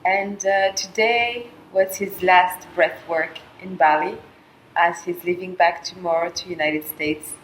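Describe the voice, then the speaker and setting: A middle-aged woman from Colombia speaking softly and haltingly, with frequent pauses and fillers, in a reflective personal monologue. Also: lowish voice, fairly even intonation, a little hiss or hum, rough recording. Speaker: vlogger sharing a personal experience.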